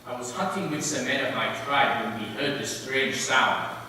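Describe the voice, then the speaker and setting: A man's voice: medium pitch, normal speed, bright, forceful tone, far from the mic. Man playing a wary jungle hunter, echoey hall. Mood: wary wonder. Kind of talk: theatrical storytelling, readers theater. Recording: echoey hall from afar, faint noise.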